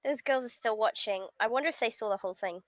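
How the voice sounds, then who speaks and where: Girl playing a put-upon sarcastic school kid, highish voice, grumbly, dry close sound, visual novel reading. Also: fast, no room sound, lightly acted. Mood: worried. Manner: grumbling, sardonic. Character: beleaguered, sarcastic teenage schoolboy protagonist. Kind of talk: visual novel read-aloud.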